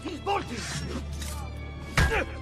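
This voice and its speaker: An aggressive guard in a game. His shouts are very high in pitch, urgent and barking. Loud music plays behind them. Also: lively intonation, slow pace, heavily acted. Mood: hostile urgency. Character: aggressive shouting Italian city guard, male.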